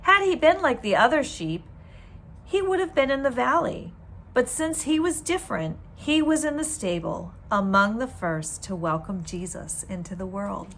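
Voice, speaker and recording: A woman reading a children's storybook aloud in an expressive, deliberate storytelling manner. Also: on the high side, slight background noise. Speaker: teacher reading a book aloud.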